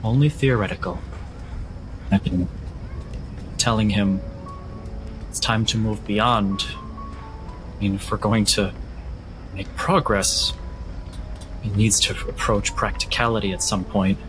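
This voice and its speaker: A man playing an earnest, fascinated male scholar who speaks in a thoughtful, halting, articulate way. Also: lowish voice, fairly even intonation, music in the background, rough recording.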